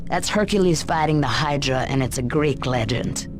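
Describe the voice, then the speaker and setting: A woman's voice: low-pitched, expressive, normal speed, music in the background. An actress playing a composed female interrogator in a video game, pointed, corrective and matter-of-fact. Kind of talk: video game cutscene acting.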